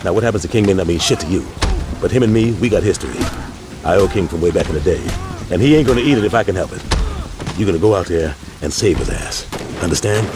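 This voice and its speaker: An actor playing a stern, blunt, streetwise gang leader with an American accent in a video game cutscene. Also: male voice, lowish voice, noisy background, lightly acted. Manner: commanding, blunt street talk.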